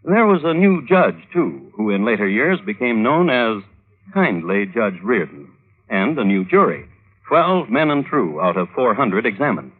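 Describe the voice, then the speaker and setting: An actor playing a droll connoisseur of historical crimes, narrating wryly and deliberately in old-time radio style. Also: male voice, on the low side, big pitch swings, breathy edge, full character voice. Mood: wry storytelling. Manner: wry, deliberate phrasing. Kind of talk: 1950s radio storytelling. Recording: old radio broadcast, tinny narrow band.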